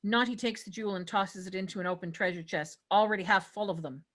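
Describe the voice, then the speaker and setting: Bright-voiced woman narrating a script. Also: mid-range voice, fast. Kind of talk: scripted narration of stage directions.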